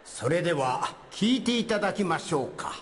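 An actor playing an amiable, doddering old judge in a comic courtroom skit, speaking ceremoniously and theatrically. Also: male voice, high-pitched, big pitch swings, normal speed, noisy background. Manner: ceremonious, theatrical, absent-minded. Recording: reverberant concert hall, faint music.

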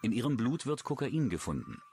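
A man's voice: medium pitch, sing-song, fairly quick, heavy background noise. A man reading scripted true-crime narration as a documentary narrator.

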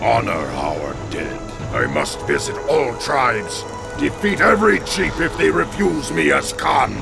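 Proud orc war leader, heavy growl, slow, declamatory, vengeful, video game, loud music. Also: male voice, mid-range voice, very animated, exaggerated voice. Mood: commanding. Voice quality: gravelly. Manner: terse, declamatory. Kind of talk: video game cutscene acting.

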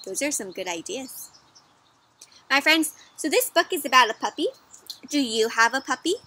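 High, bright young woman reading to children. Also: normal speed, slight background noise. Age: young adult. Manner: expressive, asks the child listener questions. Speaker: children's read-aloud host. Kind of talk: interactive storybook read-aloud for children. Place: outdoors in a garden or patio.

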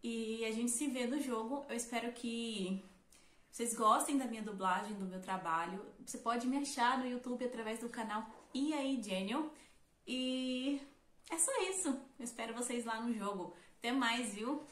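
A young woman with a Brazilian accent speaks in a brightly raised voice, gushing and upbeat. Her words bounce around a small, roomy space picked up from desk distance. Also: a little high, moderate pace. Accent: Brazilian Portuguese. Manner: upbeat, gushing, lots of "incrível". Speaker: fan dub voice actress. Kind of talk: casual testimonial to camera. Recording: roomy echo.

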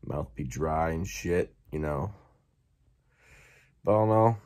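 A young man vlogging casually from his bedroom, hoarse after a night out, talking in a laid-back, slangy, rambling way. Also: very deep voice, takes it slowly, darkish tone. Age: young adult. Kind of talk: casual vlog talk to camera. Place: bedroom, indoors. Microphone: phone held at arm's length.

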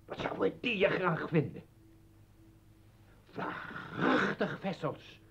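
An actor playing an indignant, scornful professor in a radio play, mocking and oratorical. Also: male voice, sits high, animated, takes it slowly, gravelly, a bit bright, breathy edge, exaggerated voice. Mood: angry, threatening. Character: scornful, indignant professor, a husband defending his household. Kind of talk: Dutch radio play acting. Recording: dull old radio recording.

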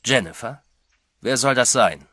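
A man's voice: medium pitch, animated, measured pace, sharp tone. An actor playing a sinister male hermit in a horror audio drama, with silky, mocking politeness. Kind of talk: radio drama acting.

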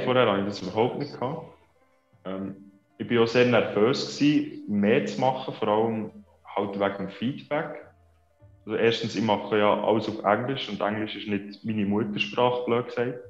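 A man's voice: fairly low pitch, normal speed. Swiss German man, echoey dull sound. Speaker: interview guest, social media creator. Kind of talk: casual interview chat. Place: small room indoors on a video call. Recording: echoey, dull video-call sound, music behind.

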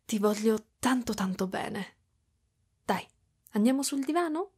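A woman voicing a doting, clingy motherly spider-woman in an ASMR roleplay, speaking softly and affectionately with whispery stretches. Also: fairly high pitch, expressive, unhurried. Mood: tender, playful. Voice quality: soft, breathy with whispery stretches. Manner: cooing, affectionate, giggly, pet names.

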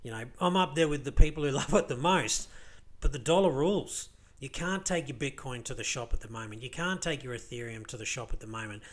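Croaky, worn-out Australian man; casual rambling vlog commentary, lots of fillers. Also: mid-range voice, expressive, a little fast. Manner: rambling, filler-heavy, many restarts. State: croaky, tired voice. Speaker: crypto vlogger. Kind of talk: casual screen-share commentary.

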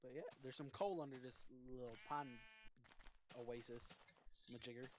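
A man's voice: medium pitch, moderate pace, background noise. A man chatting casually and jokingly while playing a co-op game. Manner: relaxed, joking. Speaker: co-op game player. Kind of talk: casual gameplay chat.

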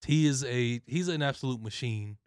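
A man's voice: mid-range voice, normal speed, clean tone, dry recording, studio quality. A young man in his twenties co-hosting a casual sports talk show, chiming in with opinions and stammered restarts. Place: small studio with foam panels. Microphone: studio mic on arm.

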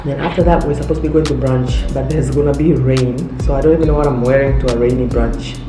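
A woman's voice: a bass voice, fairly even intonation, fast talker. A woman in her thirties from Tanzania, chatting casually and informally to camera as a vlogger. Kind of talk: casual vlog chat to camera. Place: home interior, open living room and kitchen. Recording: roomy echo, music underneath.

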